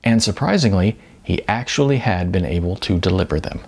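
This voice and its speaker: A man's voice: sits low, expressive, fairly quick, creaky, slightly rough. A man narrating an audiobook story in a conversational, wry way.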